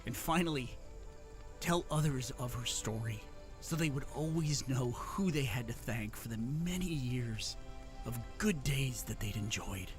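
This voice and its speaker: A man narrating a fairy tale in calm, measured scripted storybook narration, playing a gentle father at a bedtime story. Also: mid-range voice, over music, lightly acted.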